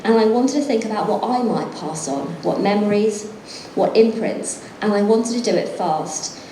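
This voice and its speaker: A woman's voice: medium pitch, lively intonation, normal speed, mellow, roomy sound, slight background noise, low-quality recording. A middle-aged woman author reading from her book and then chatting, self-deprecating and laughing often. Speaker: author and guest. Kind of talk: reading aloud then conversation. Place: event venue.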